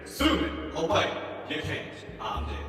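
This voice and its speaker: A man's voice: a little high, huge pitch swings, unhurried, a bit bright, full character voice. An actor voicing an irritable, sarcastic male ghost of a murder victim, complaining snarkily in a radio drama. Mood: irritated, sarcastic. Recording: echoey long reverb, distant from mic.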